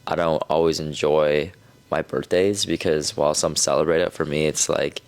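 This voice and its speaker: A man's voice: rumbling low, fairly even intonation, moderate pace, clear, resonant tone. A man in his twenties speaking as a podcast guest, talking reflectively in casual chat. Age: 20s. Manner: reflective, thoughtful.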